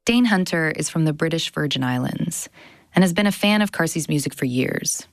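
A woman's voice: low voice, expressive, normal speed, no echo, clean studio sound. A woman, around her thirties, narrating a scripted audio documentary as its host. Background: music bed.

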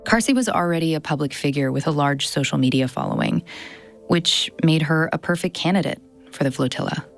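A woman's voice: sits low, brisk. A woman, around her thirties, narrating a scripted audio documentary as its host. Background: music bed.

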